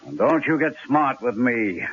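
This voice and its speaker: An actor playing a gruff, irascible police commissioner with a raspy voice in an old-time radio drama. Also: male voice, medium pitch, animated, a little slow, slight background noise, close to an everyday voice. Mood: angry, threatening. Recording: dull old radio recording.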